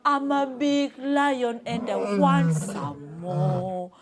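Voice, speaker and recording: A woman storyteller voicing a big, angry, hungry lion king with roaring, booming demands for children. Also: steady tone, drawn-out words, a crowd in the background.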